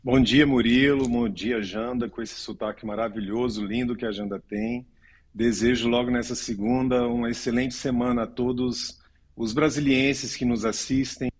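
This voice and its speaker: A man's voice: medium pitch, lively intonation, vocal fry. Male lawyer explaining on a TV panel. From Pernambuco, Brazil. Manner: warm, explanatory, measured. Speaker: lawyer, commentator. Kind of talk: studio panel commentary. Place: TV studio.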